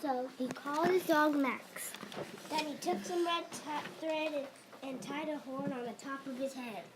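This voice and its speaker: A child narrating a reader's theatre script, reading aloud with emphasis and some halting. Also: sits high, noisy background. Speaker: narrator in a class play.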